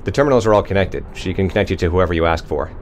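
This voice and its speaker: A man's voice: low-pitched, very fast, darkish tone, a little hiss or hum, lightly acted. An actor playing a bitter, injured crewman who talks bluntly and swears a lot. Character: bitter injured male crewman, foul-mouthed.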